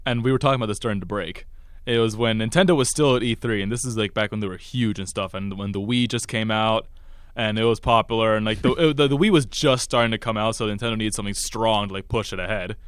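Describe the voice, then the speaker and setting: A man's voice: fairly low pitch, fairly even intonation, quick pace, clear, resonant tone, dry recording. A young adult man co-hosting a college radio video game podcast in casual chat.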